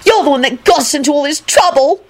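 A woman's voice: high voice, expressive, fast, pitched above the performer's own voice. A woman voicing a tearful, self-pitying woman in a story, whining and wailing. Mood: tearful, resentful. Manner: wailing, whiny. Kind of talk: dramatised story reading.

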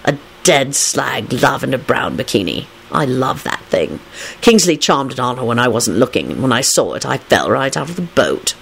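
A woman's voice: sits low, expressive, a little fast, few pauses, slight background noise. A woman voicing a weary, exasperated man with a sardonic, dryly dramatic delivery in a story reading. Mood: dreamy, dramatic.

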